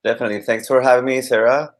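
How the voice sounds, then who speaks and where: A man in his thirties from Costa Rica with a Costa Rican accent, a Spanish teacher talking as a guest in a casual live-stream interview, halting with many fillers and restarts. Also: mid-range voice, steady tone.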